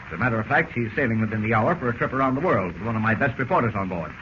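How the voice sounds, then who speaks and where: An actor playing a gruff, blustering newspaper chief with a soft heart, in old radio drama style. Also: male voice, fairly low pitch, animated, rapid-fire, lightly acted. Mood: businesslike. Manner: blustering, stammering, brusque. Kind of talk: 1940s radio drama acting. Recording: muffled, noisy old radio recording.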